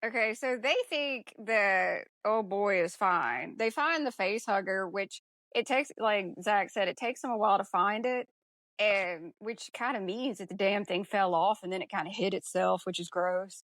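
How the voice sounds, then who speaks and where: A woman's voice: medium pitch, moderate pace, a bit bright, dry recording. A woman co-hosting a podcast, chatting casually and telling a story in an informal, mildly sweary way. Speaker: podcast co-host. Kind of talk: casual film discussion chat.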